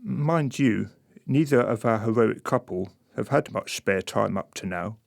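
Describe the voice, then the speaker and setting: A man narrating a scripted radio play in a deliberate, detached manner. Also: lowish voice, gravelly, no room sound, clean studio sound. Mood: measured, detached. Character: measured, observing narrator introducing a married couple.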